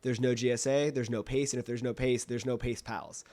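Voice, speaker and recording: A man's voice: mid-range voice, steady tone, fairly quick, dark tone. A man hosting a podcast in a casual, enthusiastic and informal way. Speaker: podcast host.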